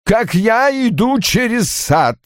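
A man's voice: high voice, sing-song, slow pace, no echo, clean studio sound, voice pushed up, full character voice. A man voicing a bitter, brusque older father in an audiobook, irritable and defensive, with cold, emphatic delivery. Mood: indignant. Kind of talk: audiobook character acting.

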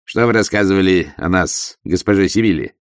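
A man voicing an arrogant, self-assured young nobleman who speaks calmly and coolly. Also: low voice, a little fast, no echo, studio quality. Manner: cool, self-assured, calm. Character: arrogant young nobleman, werewolf son, fitness-obsessed. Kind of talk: audiobook character voice.

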